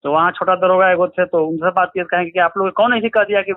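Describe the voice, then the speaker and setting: A man with a Bihari accent speaks in a high-pitched voice over a tinny, choppy phone line. He recounts events in a halting, colloquial way, as in a phone interview. The sound is dry and narrow. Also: steady tone, no room sound. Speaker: interviewee, relative of a crime victim. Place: phone call.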